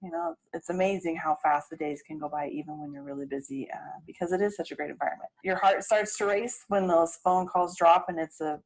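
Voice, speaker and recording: A woman's voice: lowish voice, lively intonation, a little fast, few pauses, darkish tone, poor audio. A woman dispatcher explaining the job and workstation in a conversational, matter-of-fact way. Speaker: emergency dispatcher.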